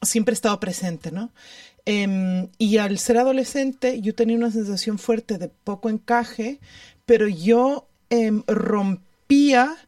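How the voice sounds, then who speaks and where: A woman speaking reflectively in conversation, thoughtful and halting, repeating words for emphasis. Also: a little high, darkish tone, dry recording, studio quality, distant mic. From Ecuador. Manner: halting, thoughtful, emphatic repetition, question tags.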